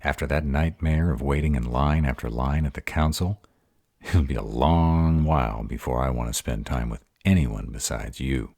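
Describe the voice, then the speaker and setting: A man's voice: a bass voice, normal speed, gravelly, a little mellow, no room sound, clean studio sound, heavily acted. A man playing a grumpy, insecure were-dragon in a romantic roleplay audio drama, hesitant and defensive as he courts his mate. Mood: grumpy, fond. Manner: hesitant, defensive, self-doubting.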